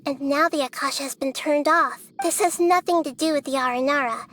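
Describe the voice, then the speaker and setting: A woman voicing a gentle, wise young goddess who reasons calmly and softly. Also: sits high, brisk, a little hiss or hum. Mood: explaining. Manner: calm, reasoning, soft-spoken.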